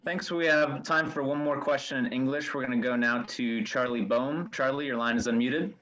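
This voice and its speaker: A man moderates a press call, making short procedural announcements to hand over questions. His voice is mid-pitched and comes through slightly muffled, lo-fi conference audio. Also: normal speed, fairly bright tone.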